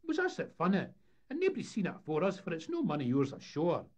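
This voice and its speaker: A man's voice: a little high, huge pitch swings, fast, a little mellow, pitched above the performer's own voice. A man voicing an eager, boastful young Scottish deckhand with enthusiastic salesman's patter in a humorous storybook tale. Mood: cocky.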